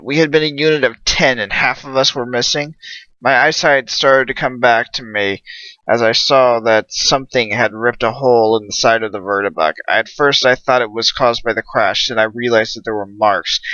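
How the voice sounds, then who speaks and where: An eager, nervous pegasus lieutenant recounts their story, voiced by a man in audiobook fashion. The delivery is bright and tense, close and dry on a slightly dull recording. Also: medium pitch, normal speed, no room sound, natural voice. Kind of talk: first-person audiobook narration.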